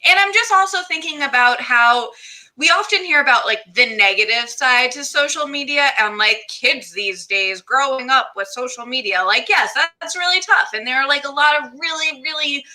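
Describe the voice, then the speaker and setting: Female host, bright and forceful tone, slightly high pitch, effusive, chatty interview delivery. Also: normal speed. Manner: effusive, encouraging, uses "like" often. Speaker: show host interviewing guests. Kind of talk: enthusiastic conversational interview.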